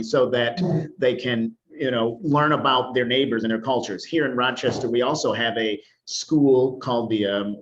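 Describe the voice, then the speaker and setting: A man from Detroit, a music educator, earnestly explaining his work in a panel discussion over a video call. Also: lowish voice. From Detroit, Michigan. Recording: muffled video-call audio.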